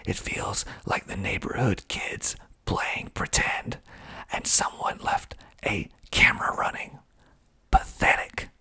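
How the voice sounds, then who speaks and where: A man whispering breathily in ASMR style into a close mic, reading aloud slowly and deliberately with dry asides. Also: pushed and bright. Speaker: filmmaker and ASMR video host. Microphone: close mic with pop filter.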